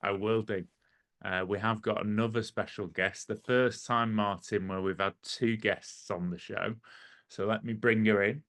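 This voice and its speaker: Deep-voiced man asking relaxed questions. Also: moderate pace. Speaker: co-host, interviewer. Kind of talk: casual interview questions.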